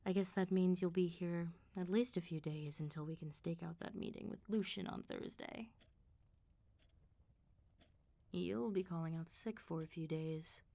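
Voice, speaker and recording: A woman voicing a calm, flirtatious, quietly threatening female vampire mob boss in a soft ASMR roleplay. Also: fairly low pitch, moderate pace, hardly any pauses. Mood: teasing, controlling. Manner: controlled, smooth-talking, teasing, quietly threatening.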